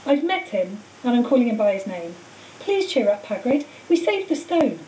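A woman voicing an earnest, thoughtful schoolboy who reasons gently but with determination. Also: a little high, very animated, moderate pace. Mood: consoling. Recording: hissy, roomy, distant microphone.

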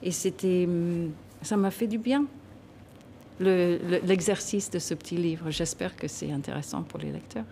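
A woman in her sixties, originally from Canada, speaks in a fairly low voice, thoughtful and emphatic as she answers questions. She is at a desk microphone in a TV studio, with a faint hum of ambience behind her. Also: measured pace, warm tone. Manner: thoughtful, emphatic, gesturing while talking. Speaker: guest author. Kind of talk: TV studio interview. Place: TV news studio.

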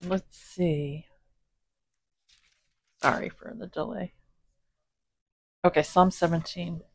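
A woman's voice: lowish voice, steady tone, slow, gravelly, a little hiss or hum. A woman hosting a home video, chatting casually and reading a psalm aloud, with chatty apologetic asides. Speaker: host reading scripture. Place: home, indoors, voice behind camera filming a cat.

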